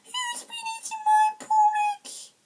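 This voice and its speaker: A woman voicing a tiny, tearful boy bear cub in a storybook, with a squeaky, plaintive wee voice. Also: little pitch movement, slow, mellow, faint music behind. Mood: plaintive, upset. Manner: plaintive, whining.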